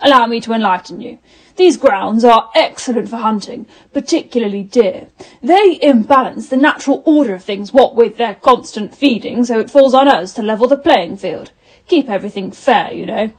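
A woman playing a pompous, faintly menacing hunting gentleman in a 1930s radio drama. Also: a little high, moderate pace, slightly rough, poor audio. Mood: smug, knowing.

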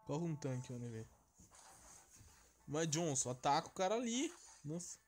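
A man's voice: on the high side, big pitch swings, normal speed. A Brazilian man giving casual, slangy gameplay commentary, reacting aloud as he plays. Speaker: gamer, channel host. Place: voice-over on screen-recorded mobile game, music behind.

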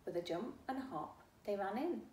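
A middle-aged woman reads a children's storybook aloud in a deliberate, expressive manner, recorded in a living room from a few feet away. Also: medium pitch. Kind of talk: read-aloud storytime narration. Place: small living room, indoors. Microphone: camera a few feet away. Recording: roomy, distant mic, faint hiss.

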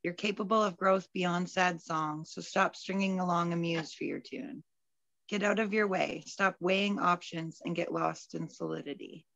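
A woman's voice: on the low side, steady tone, normal speed, creaky, fairly bright tone, no echo. A woman, a poet at an open mic, speaking over a video call from home. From Alberta, Canada. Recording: dull, lo-fi video call audio.

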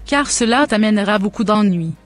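A woman voicing a devout, cautious mother who advises her grown son deliberately in a scripted cartoon. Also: mid-range voice, lively intonation, soft background music, close to an everyday voice. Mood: devout, advising.

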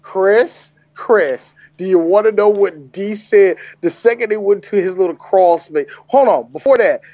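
A higher-pitched man riffs on a movie with a podcast co-host, joking and mocking characters in put-on voices. The recording is dry and close, with a slightly dull, muffled top end. He talks fast when he gets going. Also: dry recording. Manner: joking, animated, breaks into mocking impressions. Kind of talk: casual comedic movie-review chat.

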